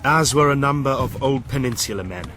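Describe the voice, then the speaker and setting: A man's voice: mid-range voice, fairly quick, a bit bright, natural voice. A man acting a stoic, seasoned British army officer narrating his war memories in a radio drama. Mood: sober, reflective. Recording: clear outdoor battlefield noise behind.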